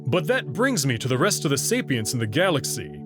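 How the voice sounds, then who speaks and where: A man voicing a proud, guarded male alien who explains things slowly and carefully. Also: mid-range voice, very animated, over music, natural voice. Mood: calm. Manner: measured and slow, prideful about his species. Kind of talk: visual novel reading.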